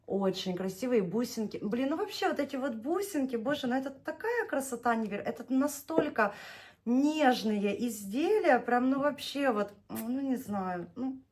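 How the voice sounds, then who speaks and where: A woman's voice: a little high, lively intonation, moderate pace, a little mellow. Chatty, gushing young woman vlogger. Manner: chatty and gushing, laughs often, drawls when naming shades. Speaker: knitting vlogger showing yarn. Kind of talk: casual unboxing chat.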